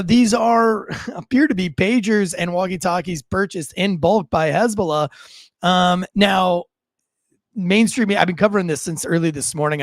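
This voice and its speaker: A man's voice: sits high, no echo. An American man doing informal breaking-news commentary, conversational, reading out reports and adding his own asides.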